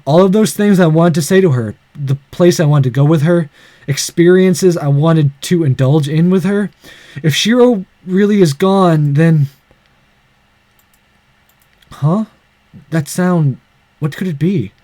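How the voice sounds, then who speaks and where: A man's voice: on the high side, a little slow, pauses often, mellow, no echo, natural voice. A man voicing a determined but anxious young male visual novel hero in earnest, dramatic inner monologue. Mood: worried.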